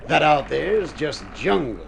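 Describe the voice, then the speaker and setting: A gruff schooner captain in a radio play, shady but soft-hearted. His gravelly voice swings up and down as he teases in folksy seafarer slang, over faint old-recording hiss. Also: a little high, big pitch swings, takes it slowly, full character voice. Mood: wry, teasing. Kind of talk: old radio drama acting.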